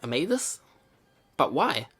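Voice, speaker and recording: A man's voice: medium pitch, big pitch swings, slow. A man hosting a let's play, reading game narration aloud and reacting casually. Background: game music.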